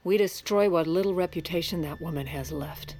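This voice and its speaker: A woman playing a sharp, resolute president's wife in an audio drama, blunt and wry in both narration and dialogue. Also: lowish voice, fairly quick, natural voice. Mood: urgent, resolute.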